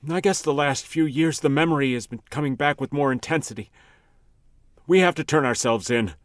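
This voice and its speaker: A man's voice: a little high, fairly quick, no echo, lightly acted. A man playing a guilt-ridden, haunted man in a radio mystery drama, speaking hesitantly and troubled. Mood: troubled, pleading.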